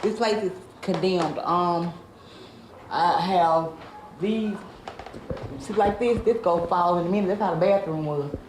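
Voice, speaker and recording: A woman's voice: fairly low pitch, unhurried, long pauses, darkish tone. A woman with a Southern US accent, speaking plainly and complaining as a tenant in an informal news interview. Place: indoors in an apartment. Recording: echoey room with background noise.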